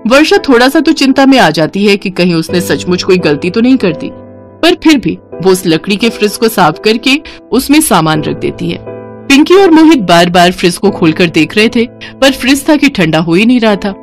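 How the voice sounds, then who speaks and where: A woman's voice: mid-range voice, sing-song, moderate pace. A woman narrating a moral story as a scripted storyteller. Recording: music behind the voice. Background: background music.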